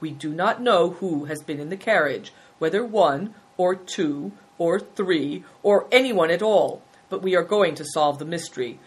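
A woman playing a stern young Mongolian prince in a story, speaking with formal, measured authority. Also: on the low side, breathy edge, low-quality recording.

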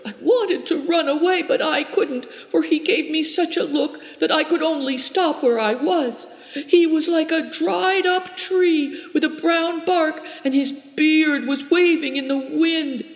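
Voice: high voice, unhurried, pitched above the performer's own voice. A reader voicing a frightened young herdswoman who stammers and sobs through her story, in a roomy space with long reverb. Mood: tearful. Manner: stammering, sobbing. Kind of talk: audiobook character reading.

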